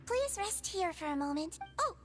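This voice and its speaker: High, sing-song nurse creature, gentle and motherly,, game score behind. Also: sing-song, normal speed. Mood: caring. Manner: soft, caring. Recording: game audio with faint music.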